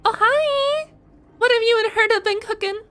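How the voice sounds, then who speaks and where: A young adult woman giving casual, playful and expressive reaction commentary as a game streamer. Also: very high voice, normal speed, faint music behind.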